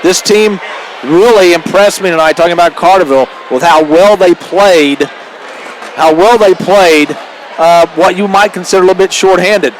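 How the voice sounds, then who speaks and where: A man's voice: sits high, normal speed, poor audio. An American man giving emphatic post-game radio analysis as a color commentator, stressing points and reading out statistics. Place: at a high school game venue. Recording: steady background noise from game venue.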